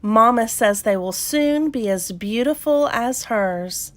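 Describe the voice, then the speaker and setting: A woman's voice: on the high side, animated, takes it slowly. A woman voicing a young female goat kid, wistful and eager, in a plaintive, childlike storybook manner.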